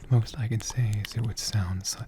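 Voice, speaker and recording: A man speaking as an ASMR host in a soft, gentle, hushed whisper, taking his time. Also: lowish voice, fairly even intonation, moderate pace, noisy background.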